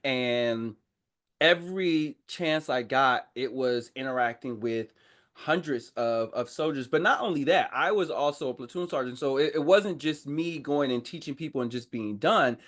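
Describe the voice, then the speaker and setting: A man speaking as a podcast guest, telling his own story in a casual, informal, animated way and often interrupting himself. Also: mid-range voice, moderate pace. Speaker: podcast guest, army veteran. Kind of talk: casual conversational personal storytelling.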